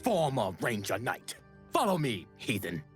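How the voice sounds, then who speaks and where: An actor playing a proud, gruff former ranger in a video game, scornful and indignant. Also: male voice, mid-range voice, huge pitch swings, a little slow, soft background music, full character voice. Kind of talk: scripted video game voice lines.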